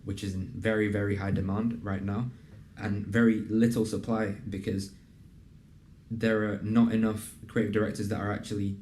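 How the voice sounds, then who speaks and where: A young man hosting a casual interview and pitching his program in an earnest, conversational way, with plenty of fillers. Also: level tone, moderate pace, a little mellow, slight background noise. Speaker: host and program founder. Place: in a building in a city business district.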